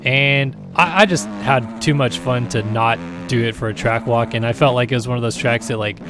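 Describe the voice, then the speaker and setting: Tired, raspy-voiced male gaming host. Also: mid-range voice, normal speed, background noise. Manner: rambling, filler-heavy, easygoing. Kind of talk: casual gameplay commentary.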